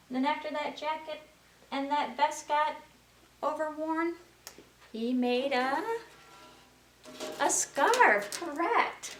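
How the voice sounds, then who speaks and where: A woman's voice: sits high, a bit bright. A woman storyteller reading aloud to young children in a sing-song way, prompting her listeners with questions. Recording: roomy, distant mic with faint noise.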